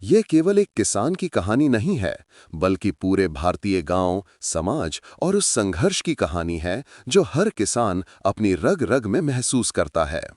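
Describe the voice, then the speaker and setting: A man's voice: on the low side, sing-song, unhurried, a little mellow, no room sound, clean studio sound. A man narrating a story in a dramatic scripted style, speaking to his listeners as friends.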